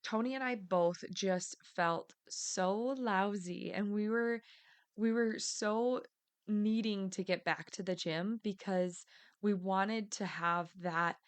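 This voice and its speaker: A woman in her thirties hosting her podcast in a chatty, upbeat way, her voice raspy because she has partly lost it. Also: medium pitch, slow. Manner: upbeat and chatty, with fillers and restarts; energetic scripted intro. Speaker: podcast host. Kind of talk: casual solo podcast talk.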